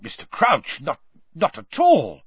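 A man's voice: fairly high pitch, huge pitch swings, slow pace, husky, no room sound, lo-fi sound, voice pushed up, full character voice. A man voicing a pushy, blustering middle-aged wizard official who roars and then mutters defensively. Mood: flustered defensive.

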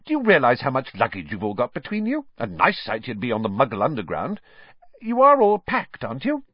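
Man doing a high-pitched, bossy, scolding mother. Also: big pitch swings, husky, no room sound, muffled recording, heavily acted. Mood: brisk, bossy. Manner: brisk, scolding. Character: bossy, proud, sharp-tongued middle-aged mother. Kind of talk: audiobook character voice.

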